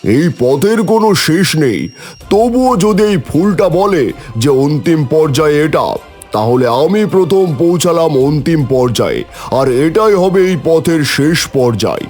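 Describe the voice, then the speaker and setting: Guy doing a boastful creator god, pompous speechy delivery, slightly high voice, cartoon myth with music. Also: very animated, unhurried, darkish tone. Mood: scheming. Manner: pompous, declamatory. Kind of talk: mythological cartoon dialogue.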